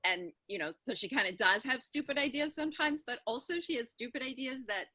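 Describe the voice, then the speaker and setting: Bright, forceful woman chatting informally. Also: high voice, expressive, brisk, no room sound. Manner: informal, rambling, repeats words. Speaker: voice actor in a serialized audio drama. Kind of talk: casual video-call discussion of characters. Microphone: video call.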